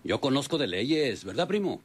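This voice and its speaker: A man acting a doubtful, comic know-it-all ranch hand with a halting drawl and rural northern Mexican accent, in an old-fashioned radio drama. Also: medium pitch, very animated, slightly rough.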